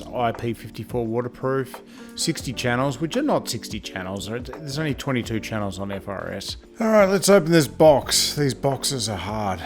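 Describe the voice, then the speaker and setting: Male product reviewer, medium pitch, casual and plain-spoken, faint music underneath. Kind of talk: casual hands-on gadget review.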